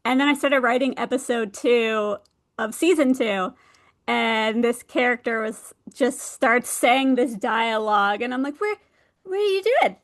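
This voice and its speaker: A woman chatting casually as an interview guest about her work, animated and playful when she quotes her own reactions. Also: on the high side, unhurried. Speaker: guest author, ghostwriter and audio drama producer.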